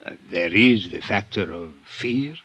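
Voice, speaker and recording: Genteel male crime boss, florid and menacing, lively swings of pitch, vintage radio drama. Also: slightly rough, highs cut off, heavily acted. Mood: silky menace. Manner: florid, wheedling, formal speech with drawn-out endearments. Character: genteel, scheming foreign crime boss, falsely affectionate, menacing. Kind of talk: 1950s radio drama acting.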